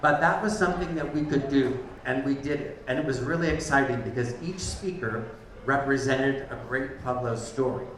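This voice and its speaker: A man's voice: medium pitch, fairly even intonation, normal speed, a bit bright. A rambling man opens a community talk night in a bar's back room. His talk is loose and friendly and full of ums. He sounds far from the mic in a very echoey room with a faint hiss behind him. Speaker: event host. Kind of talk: opening remarks at a live community event. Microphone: handheld microphone from a distance.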